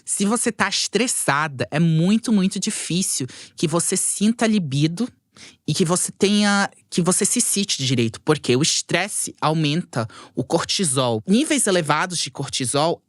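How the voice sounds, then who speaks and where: A man who is not a native speaker, a sexologist guest telling stories in an animated, jokey way in a casual podcast interview. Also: a little high, normal speed, no room sound, clean studio sound. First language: not Portuguese.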